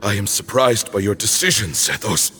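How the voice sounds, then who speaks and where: A man playing a stern, elderly grandfather in a fantasy game, grave and deliberate, in a reverberant space. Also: lowish voice, animated, sharp tone, a little hiss or hum. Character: stern old grandfather of a warrior.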